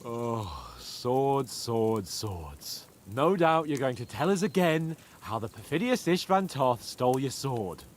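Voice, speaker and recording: A man's voice: mid-range voice, sing-song, slow, a little hiss or hum. An actor playing a young medieval nobleman in a game, commanding and exasperated, chiding his companions in a teasing way. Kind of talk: video game cutscene acting.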